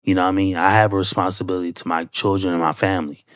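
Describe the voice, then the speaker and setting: Reaction host, male, very low voice, dry close sound, musing at length. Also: flat delivery, clear, resonant tone, no echo. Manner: reflective, conversational, drawn-out musing. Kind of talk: reaction commentary.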